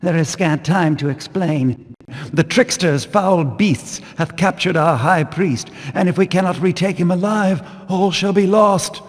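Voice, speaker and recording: An actor playing a stern, pious temple guard in a fantasy game, declaiming in archaic English. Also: male voice, high voice, measured pace. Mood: grave, urgent. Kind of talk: scripted fantasy video game voice acting. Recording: long reverberant hall echo.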